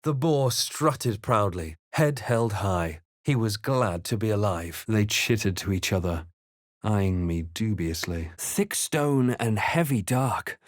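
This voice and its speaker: A man playing a brooding, wry adventurer hero in a fantasy game, speaking in a measured, grave, literary way. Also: lowish voice, expressive, no room sound, studio quality. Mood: grave, narrating. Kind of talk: scripted game voice lines and narration.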